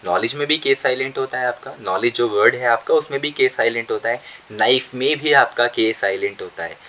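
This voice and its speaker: A man with an Indian English accent runs an online spoken English class, his voice bright and forward. He says his model sentences slowly and with care. The sound is dull and lo-fi, like audio from an online call. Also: medium pitch, a little hiss or hum. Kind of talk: online class teaching, mixing Hindi and English. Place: online session.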